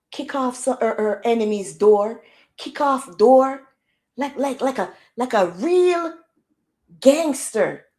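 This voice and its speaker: Loud Jamaican woman chatting on a livestream. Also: high-pitched. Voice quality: loud projecting voice. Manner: animated, rhetorical questions, slangy. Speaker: livestream host. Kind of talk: casual livestream chat.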